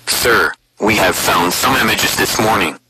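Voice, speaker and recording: A man's voice: fairly low pitch, measured pace, lightly acted. A man playing a concerned official who discusses disturbing evidence in an amateur scripted skit. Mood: grave, puzzled. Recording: very noisy playback.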